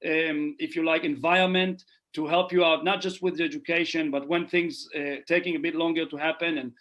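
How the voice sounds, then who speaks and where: Earnest man, higher voice. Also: little pitch movement, brisk, fairly bright tone, lo-fi sound. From Israel. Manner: earnest, repeats phrases while thinking. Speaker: guest. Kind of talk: casual podcast conversation.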